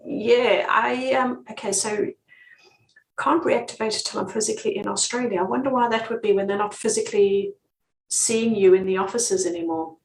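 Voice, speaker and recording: A woman's voice: mid-range voice, a little hiss or hum. A British-accented woman giving casual relocation advice on a live stream, chatty with frequent ums. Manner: chatty, many ums, laughs easily. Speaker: relocation consultant. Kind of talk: live-stream advice reading viewer comments. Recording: echoey room.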